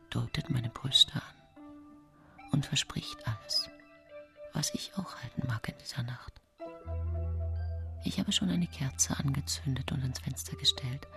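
Low-voiced, confiding scheming widow, music behind. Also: female voice, creaky, heavily acted. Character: scheming early-19th-century German widow, sensual and self-satisfied. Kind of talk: radio drama monologue, intimate first-person narration.

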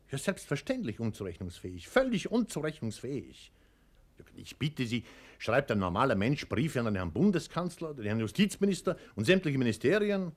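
A man playing an officious department chief in a radio play, formal and unctuous, servile to superiors and patronizing to those below. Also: medium pitch. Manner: formal, unctuous, self-righteous. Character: officious male bureaucrat chief, servile upward, patronizing downward.

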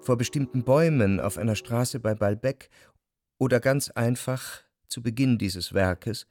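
An actor playing an indecisive, brooding young man, narrating a literary radio drama in a reflective, measured way. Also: medium pitch, a little mellow, lightly acted. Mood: contemplative. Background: instrumental music.

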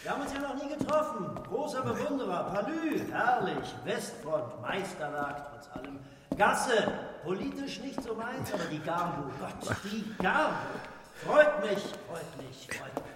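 High-voiced, gushing Nazi minister in vast hall. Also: male voice, big pitch swings, measured pace, background noise. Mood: effusive. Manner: clipped phrases, repeats himself, gushing. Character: powerful Nazi government minister, effusive, slyly menacing. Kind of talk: radio drama acting. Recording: long hall reverb, music underneath.

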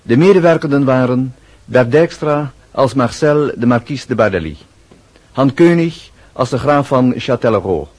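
A male radio announcer with a medium-pitched voice. He reads scripted closing announcements and credits for a drama serial. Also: animated, normal speed, darkish tone.

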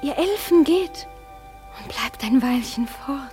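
A woman's voice: sits high, animated, slow, warm tone. An actress playing a doting, seductive fairy queen in a classic radio play, speaking verse in a lyrical, caressing, theatrical manner. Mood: tender, lulling. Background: music.

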